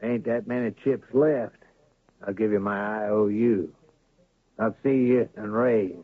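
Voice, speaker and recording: An actor playing a crooked, domineering town boss in an old radio drama, talking in a blustering, threatening way. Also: male voice, on the low side, measured pace, breathy edge, no echo. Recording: tinny lo-fi old radio broadcast.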